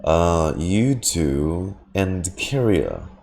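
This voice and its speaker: Low-voiced man reacting to a game. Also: big pitch swings, drawn-out words, vocal fry, a little mellow. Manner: slangy, wry. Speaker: game streamer. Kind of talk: casual off-the-cuff gameplay reactions.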